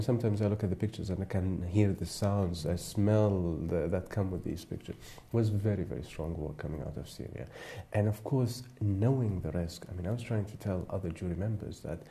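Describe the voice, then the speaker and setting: A man from Iraq, a photographer serving on a jury, giving thoughtful, halting interview answers with many fillers and restarts. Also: low voice, warm tone.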